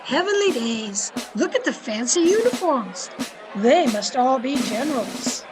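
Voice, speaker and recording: Woman as a homely wry wife, high animated voice, polite, teasing, radio comedy. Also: a little slow, over music, low-quality recording. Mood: folksy, pleased. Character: folksy, wry, sociable wife of a blowhard. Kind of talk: old-time radio comedy acting.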